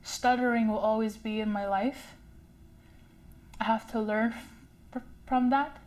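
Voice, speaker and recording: A young woman who stutters, sharing a personal story in a slow, halting way with fillers and repeated sounds. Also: on the high side, fairly even intonation, darkish tone. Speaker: community member sharing a story. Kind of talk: personal testimony.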